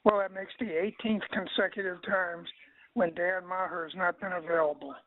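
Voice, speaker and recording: A man's voice: sits high, fairly even intonation, normal speed, a crowd in the background. An older man leaving casual, sardonic voicemail messages, wisecracking and grumbling over a telephone. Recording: tinny phone-line voicemail recording.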